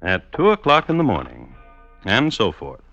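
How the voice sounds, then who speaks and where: A man narrating a scripted old-time radio crime story as host, with wry, clipped, deliberate phrasing. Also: fairly low pitch, wildly swooping pitch, creaky, pushed and bright, no echo. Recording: dull old radio recording, faint music.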